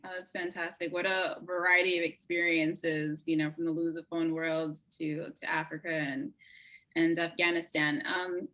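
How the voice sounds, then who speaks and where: A woman interviewing a guest, encouraging and quick with affirmations. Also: lowish voice, sharp tone. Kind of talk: interview host.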